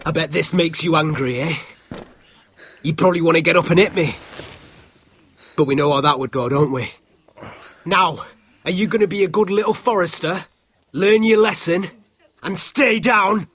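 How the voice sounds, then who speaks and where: A man's voice: a little high, normal speed, long pauses, fairly bright tone, breathy edge. A man playing an arrogant, cruel young lord in a dramatic video game, sneering and petulant as he taunts. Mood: cruel taunting. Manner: sneering, taunting, profane, imperious.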